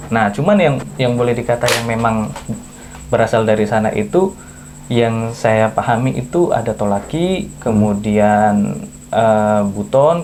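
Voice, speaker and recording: A man's voice: fairly low pitch, darkish tone, a little hiss or hum. A man from Southeast Sulawesi, a podcast guest, explaining in casual conversation with frequent self-corrections. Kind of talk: casual chat.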